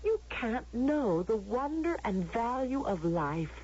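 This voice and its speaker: A restless young woman in an old radio drama. Her voice is high and breathy-rough, swinging widely in pitch as she stammers out her anguish. The recording is dull and lo-fi. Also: big pitch swings, darkish tone, exaggerated voice. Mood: yearning, impassioned. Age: young adult. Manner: halting, impassioned, repeating words.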